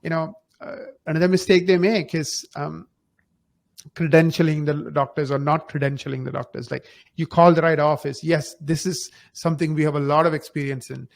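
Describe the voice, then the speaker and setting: A man's voice: a little high, little pitch movement, normal speed, vocal fry. A man giving advice conversationally as a podcast guest, emphatic and fond of rhetorical questions and acted-out examples. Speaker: podcast guest, marketing company founder.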